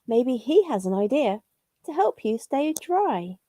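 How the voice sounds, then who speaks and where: Helpful little fellow played by a woman in a storybook: high, soft-toned, neighbourly, sing-song. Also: very animated, measured pace, dry recording, studio quality, exaggerated voice. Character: helpful male neighbour creature, welcoming, storybook.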